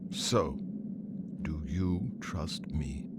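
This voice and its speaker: An actor playing an earnest, protective hero in a fantasy video game, in a conversational manner. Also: male voice, extremely low pitch, expressive, very slow, very noisy, heavily acted. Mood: mild, reassuring. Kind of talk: video game cutscene acting.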